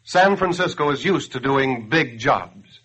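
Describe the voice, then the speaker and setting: A man's voice: medium pitch, animated, unhurried, fairly bright tone, slightly rough. An American man announcing in 1940s radio style, delivering a scripted appeal in an earnest, declamatory, persuasive way. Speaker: radio announcer and campaign spokesman. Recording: dull grainy 1940s radio broadcast.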